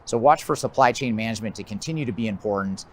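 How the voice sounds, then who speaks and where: An American man giving a measured, methodical explanatory presentation as a business consultant, his phrases often trailing off at the end. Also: lowish voice, slight background noise. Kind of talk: explanatory presentation of a trends list.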